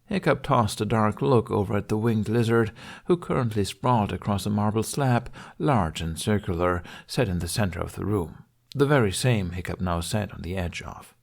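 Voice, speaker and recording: A man's voice: lowish voice, expressive, normal speed, vocal fry. A man reading fantasy fiction aloud as a scripted audiobook narration.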